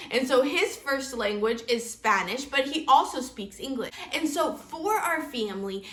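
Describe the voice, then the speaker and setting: A woman's voice: high-pitched, a bit bright, reverberant. A woman in her thirties from Kansas, hosting a homeschool vlog and talking earnestly to camera. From Kansas, United States. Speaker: homeschool channel host. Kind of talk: casual vlog talk to camera.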